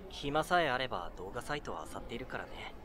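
A man playing a mild, modest 19-year-old in an amateur audio drama, with a casual, gentle, self-effacing manner. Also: medium pitch, animated, brisk, background noise, natural voice. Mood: friendly, modest. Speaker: narrator and lead actor in an audio drama. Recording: music behind the voice.

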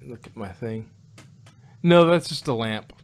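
Man, casual review discussion, medium pitch, halting, rambling delivery. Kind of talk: casual discussion of an audio drama.

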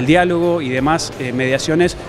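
A man's voice: a little high, normal speed, crowd noise. A man in his thirties with an Argentine accent, a self-defense instructor giving spontaneous, explanatory interview answers into a handheld microphone in a gym. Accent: Argentine Spanish. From La Plata, Argentina. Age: 30s. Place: large busy gym hall, indoors. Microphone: handheld reporter microphone.

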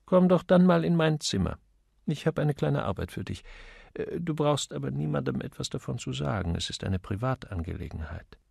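A man voicing a gallant, courteous gentleman in an audiobook, casually inquisitive in manner. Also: mid-range voice, sing-song, normal speed, vocal fry, husky, no room sound, studio quality, exaggerated voice. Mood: confiding, brisk.

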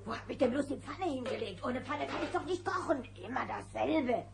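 A woman playing a fussing, motherly old granny bear with a creaky voice in a German children's audio drama. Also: high voice, brisk, over music. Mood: flustered.

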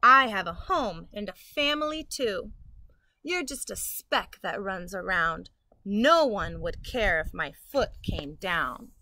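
A woman's voice: mid-range voice, lively intonation, takes it slowly, sharp tone, heavily acted, low-quality recording. A young woman reading the part of a big, boastful, bossy boy in a storybook, with a taunting, emphatic delivery. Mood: scornful. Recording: outdoor ambient noise.

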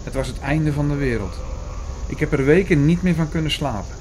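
A man playing an earnest first-person narrator in an audio drama, speaking in a measured, formal, bookish way. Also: a little high, darkish tone, slight background noise, lightly acted. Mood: troubled, reflective. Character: Hugo, earnest male first-person narrator reading out prophecy.

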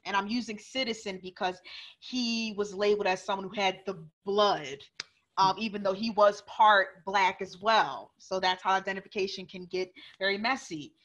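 A young woman telling a story as a panelist on a video call, animated and full of restarts, through an earphone mic at home. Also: medium pitch, moderate pace. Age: young adult. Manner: animated, gesturing, many restarts. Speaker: panelist author. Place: living room at home.

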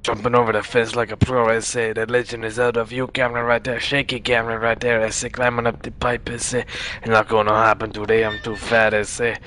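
A man's voice: medium pitch, level tone, fairly quick, sharp tone, slight background noise, natural voice. A young man gaming who puts on the strained voice of a swaggering, tough-talking street gangster, tagging his lines with slang. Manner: strained put-on voice, repeated street-slang tags. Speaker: gamer. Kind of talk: gameplay commentary in a put-on character voice.

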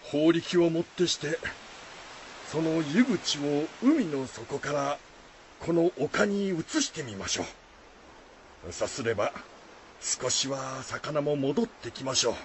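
Slow-spoken wandering monk. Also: male voice, a little high, sing-song. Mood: resolute. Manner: polite, deliberate, formal speech. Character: kindly, composed travelling Buddhist monk. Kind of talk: radio drama acting of a folktale. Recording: faint background noise.

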